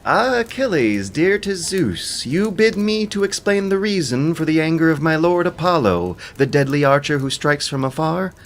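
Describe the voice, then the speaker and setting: A man's voice: fairly high pitch, very animated, moderate pace, hardly any pauses, voice pushed up. A man voicing a wise, wary seer in an epic audio drama, speaking in solemn, anxious declamation. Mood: wary, pleading. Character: wary, wise seer fearing a powerful king.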